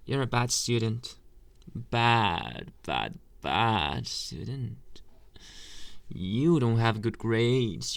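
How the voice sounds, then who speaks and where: A flirtatious anime professor, acted out by a man, talks unhurriedly in a medium-pitched voice with a gravelly fry. He is coy and teasing, leaving pauses as he addresses the listener in a close, dry ASMR roleplay. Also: a bit bright, no echo, full character voice. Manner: slow, teasing, hesitant pauses, murmured asides, pet names.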